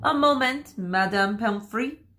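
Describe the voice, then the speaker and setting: A woman's voice: a little high, slow, bright, forceful tone. A woman voicing a flamboyant, vain male French hairdresser in a storybook, showy and self-satisfied. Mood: grand.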